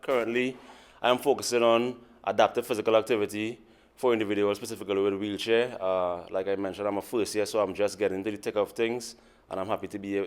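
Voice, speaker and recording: A man's voice: on the low side, clear, resonant tone. A man from Trinidad and Tobago, a PhD student who cheerfully asks the audience questions. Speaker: PhD student and lab assistant director. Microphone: video call.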